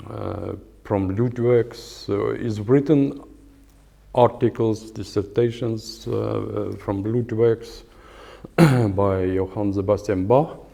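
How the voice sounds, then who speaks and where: Older man, Estonian accent, lecturing on guitar, slow and halting, low mellow voice, lots of filler sounds. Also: gravelly. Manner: hesitant, many fillers and pauses. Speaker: guitar professor giving a lecture. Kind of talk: lecture with halting non-native English. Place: lecture hall at a music academy.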